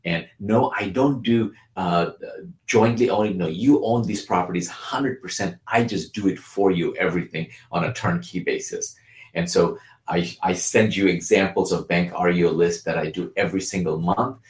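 A man from Bangladesh giving an energetic, persuasive sales pitch for his real estate program. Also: normal speed, echoey room. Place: Tampa, Florida.

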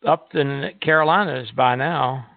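A man's voice: medium pitch, measured pace, vocal fry, no echo. A man hosting a casual live radio chat in a hesitant, folksy manner. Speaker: radio show host. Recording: tinny phone-like line, music behind.

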